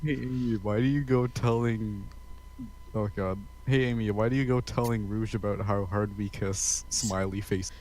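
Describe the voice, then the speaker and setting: A man's voice: lowish voice, fairly even intonation, moderate pace, darkish tone, slight background noise, low-quality recording. A man reading character lines in a casual comedic read-along with friends. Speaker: co-reader of character dialogue.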